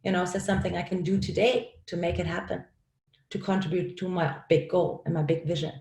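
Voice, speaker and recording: A woman's voice: fairly low pitch, steady tone, fast, darkish tone, echoey room. A woman with a German accent giving an upbeat, encouraging coaching talk over a video call, laughing often as she speaks.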